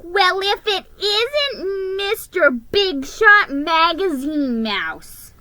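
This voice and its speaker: A woman's voice: very high voice, very animated, very slow, bright, forceful tone, no echo, pitched above the performer's own voice, full character voice. Woman as a sneering, grumpy little elf. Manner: mocking, snorting.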